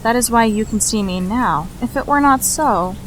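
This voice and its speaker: A woman playing an older ancestral mage in a fantasy audio drama, speaking in a measured, formal and solemn way. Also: on the high side, slight background noise, natural voice, poor audio. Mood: solemn explaining.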